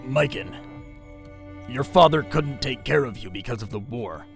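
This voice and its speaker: A man voicing a solitary male warrior mentor in an audio drama, grave and measured, with a gravelly voice. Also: medium pitch, lively intonation, soft background music.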